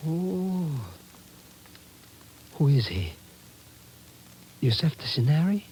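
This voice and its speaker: An actor in a 1950s radio drama playing a cowardly comic sidekick, plaintive and melodramatic. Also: male voice, a little high, big pitch swings, takes it slowly, darkish tone. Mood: jittery. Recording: old radio recording, faint noise.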